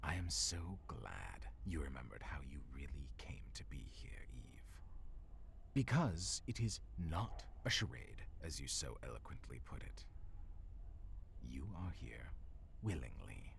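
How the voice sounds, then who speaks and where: A man plays a menacing villain lord in an audio drama, very deep and gravelly. He talks with measured, mock-polite condescension, his pitch gliding widely as he addresses his captive. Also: big pitch swings, a bit bright, a little hiss or hum, full character voice. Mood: condescending, commanding. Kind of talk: dramatic audio roleplay acting.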